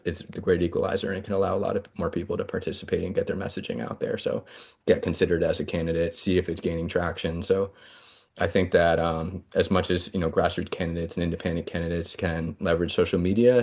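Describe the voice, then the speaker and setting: A man's voice: deep voice, level tone, fast, creaky, a little mellow. A man running for office, answering interview questions earnestly with frequent fillers and restarts. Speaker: congressional candidate.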